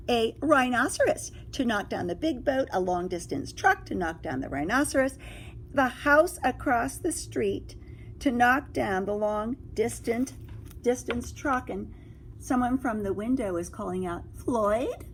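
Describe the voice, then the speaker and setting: A woman teacher reading a picture book aloud to children, expressive and exclaiming, often breaking off to draw her listeners in with questions. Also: a little high, a little hiss or hum. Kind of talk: read-aloud storytelling with asides and questions to young listeners.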